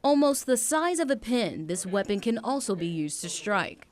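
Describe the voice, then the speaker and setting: A woman's voice: on the high side, very animated, normal speed, slight background noise. A woman working as a TV news reporter, reading a scripted report in narration style.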